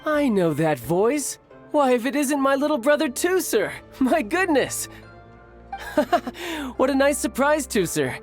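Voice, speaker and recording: An actor playing an affable, caring young man, an affectionate big brother in a video game, with a smooth-talking manner. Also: very high-pitched, very animated, a little slow, soft background music, heavily acted. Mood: delighted.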